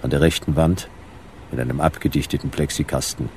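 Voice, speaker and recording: Radio play narrator: bass-deep, dry deadpan, short fragments, light background noise. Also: male voice, a little fast. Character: dry deadpan narrator of a sci-fi detective story.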